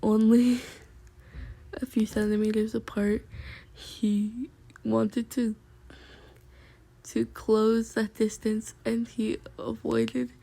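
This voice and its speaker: A woman's voice: on the high side, level tone, slow pace, vocal fry, pauses often, dark tone, no room sound. A young woman reading fan fiction aloud, stumbling over words and dissolving into flustered giggles. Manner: giggly, flustered, breaking off to react. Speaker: fanfic reader.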